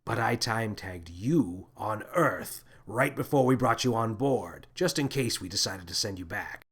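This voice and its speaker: A man voicing an adult male spaceship captain in a children's sci-fi story, grave and sorrowful but excitable when alarmed. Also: on the low side, measured pace, husky, full character voice. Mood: grave, sad. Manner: dramatic, brooding, blurting when agitated.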